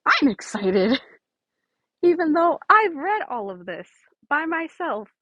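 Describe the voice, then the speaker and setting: A woman with a fairly high voice reads a story and talks casually to listeners. The home recording is dry, with a slightly dulled top end. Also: big pitch swings, slow pace, no echo, muffled recording. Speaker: podfic reader.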